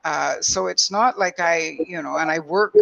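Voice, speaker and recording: A woman in her late sixties with a deep voice, telling personal stories emphatically and acting out conversations. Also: measured pace, gravelly, fairly bright tone, rough recording. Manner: emphatic, acts out quoted exchanges. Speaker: roundtable panelist. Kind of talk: animated personal storytelling.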